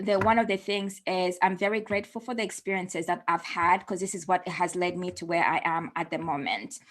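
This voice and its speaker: A woman who grew up in the United States hosts a casual video interview. Her voice sits a little low, and she talks in a chatty, relaxed way, full of small fillers. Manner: conversational, chatty, with frequent fillers. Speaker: host.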